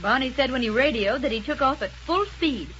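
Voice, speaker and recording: A woman playing a sensible, quick-thinking heroine in a 1930s radio space adventure. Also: sits high, lively intonation, fairly bright tone. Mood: reasoning. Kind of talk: 1930s radio serial acting. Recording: noisy crackling 1930s radio recording.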